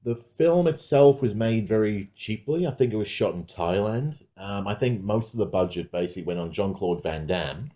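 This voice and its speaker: Lowish soft mellow guy doing casual podcast reviews, dry and wry. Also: animated, moderate pace, hardly any pauses. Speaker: podcast panelist.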